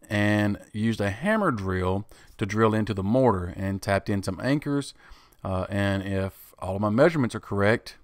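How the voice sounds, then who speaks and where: A middle-aged man with a fairly low voice talks through a home woodworking project in a relaxed, matter-of-fact way. He sounds like a host narrating his own tutorial. The recording is close and dry, with no room sound. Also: dry recording. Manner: plain, easygoing step-by-step explaining. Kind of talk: DIY tutorial voice-over.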